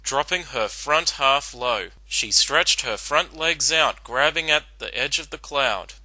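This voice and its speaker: A man's voice: mid-range voice, animated, slow, pushed and bright, no echo, low-quality recording. A man narrating a written story as a dramatic reading.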